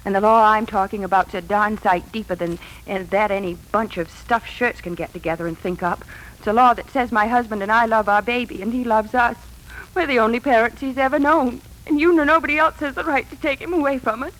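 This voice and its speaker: A woman's voice: a little high, steady tone, a little fast, a bit bright, natural voice, poor audio. An actress playing a devoted, emotional adoptive mother in the earnest style of 1940s radio drama. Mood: pleading, indignant. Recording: old 1940s radio recording.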